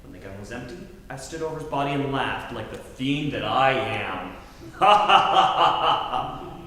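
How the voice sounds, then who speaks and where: A man's voice: mid-range voice, animated, normal speed, sharp tone. A man playing a hard-boiled private detective in a 1940s-style radio comedy, with tough, melodramatic gangster talk. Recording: echoey hall with faint noise.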